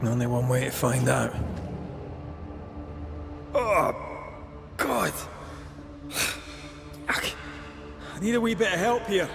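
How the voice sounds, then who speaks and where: An actor playing a rugged, wry ghost hunter in a video game. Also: male voice, on the high side, big pitch swings, slow pace, creaky, pauses often, exaggerated voice. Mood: strained, urgent. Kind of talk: dramatic video game acting. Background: game music.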